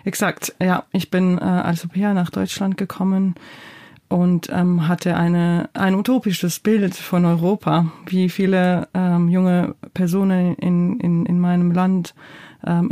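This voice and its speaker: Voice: medium pitch, dark tone. Hesitant playwright. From Georgia. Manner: hesitant, many fillers. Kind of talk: interview answers.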